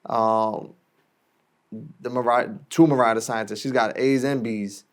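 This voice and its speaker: A man's voice: medium pitch, steady tone, unhurried. An American man who is a radio DJ guest, talking casually and explaining in slangy, conversational speech.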